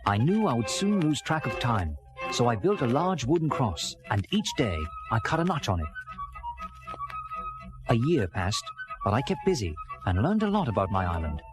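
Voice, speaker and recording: A man's voice: mid-range voice, big pitch swings, normal speed, close to an everyday voice, rough recording. An actor playing an earnest castaway sea captain, telling his story in theatrical first-person storybook narration. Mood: reflective. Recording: music bed under early-1980s children's record. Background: dramatic background music.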